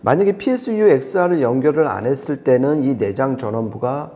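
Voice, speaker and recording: A man's voice: medium pitch, lively intonation, fairly quick, warm tone. A man explaining products conversationally as an audio reviewer, with an emphatic manner.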